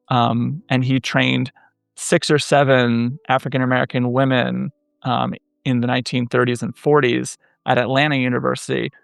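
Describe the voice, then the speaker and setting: A man in his thirties talks through academic ideas in a podcast interview, pausing often to find his words. His voice has a gravelly fry and stays flat and even. The sound is dry and close, with faint music in the background. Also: mid-range voice, no echo. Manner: thoughtful, many "um" pauses, measured. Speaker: podcast guest, French studies scholar.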